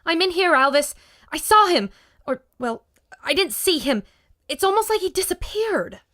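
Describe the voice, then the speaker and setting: A woman's voice: extremely high pitch, big pitch swings, normal speed, bright, forceful tone, full character voice. A woman playing a bold, plucky adventurer in a radio drama, earnest and assertive. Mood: excited.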